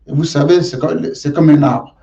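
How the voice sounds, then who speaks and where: A man from Gabon, a guest in an interview, answering in a slow, deliberate and reflective way. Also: fairly high pitch, steady tone, gravelly, dark tone. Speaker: guest, martial arts champion. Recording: roomy, dull lo-fi sound.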